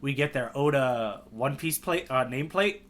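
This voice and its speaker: Bright-voiced man in casual podcast chat. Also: medium pitch, normal speed. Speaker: podcast co-host.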